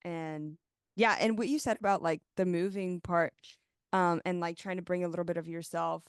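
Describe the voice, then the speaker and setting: Woman, podcast host, lowish smooth voice, reflective casual talk. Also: fairly even intonation, normal speed, no room sound, clean studio sound. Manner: filler-heavy, reflective. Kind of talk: casual interview chat.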